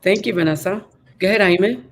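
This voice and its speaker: A woman's voice: mid-range voice, a little fast. A woman moderates a casual panel over a video call. Her manner is effusive and encouraging, and she keeps the talk moving. Her first language was Spanish.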